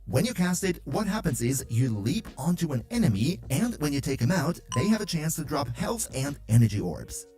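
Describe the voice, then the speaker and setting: A man's voice: fairly high pitch, sing-song, moderate pace, hardly any pauses, mellow, soft background music. A man narrating a scripted game guide in an upbeat, enthusiastic explainer manner.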